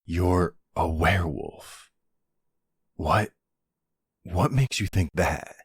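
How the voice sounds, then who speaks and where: Husky, low-voiced worried boyfriend, played by a man. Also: extremely slow, dry recording, studio quality, exaggerated voice. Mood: concerned, disbelieving. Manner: earnest, pleading, exasperated. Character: worried, insistent boyfriend pleading with his partner. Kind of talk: boyfriend roleplay audio drama.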